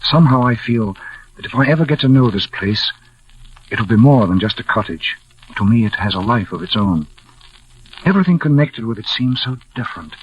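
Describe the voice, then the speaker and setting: An actor playing a gentle older blind former pilot, measured and reflective, in a 1940s radio drama. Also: male voice, medium pitch, expressive, slightly rough. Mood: courteous, musing. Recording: muffled old 1940s radio recording.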